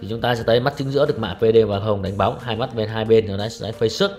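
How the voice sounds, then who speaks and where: A young man talks quickly through a watch review in a low voice. His delivery is chatty salesman patter aimed at his viewers, with faint music playing underneath. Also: a little mellow. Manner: brisk salesman patter, calls viewers "brothers". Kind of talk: product review with close-up narration.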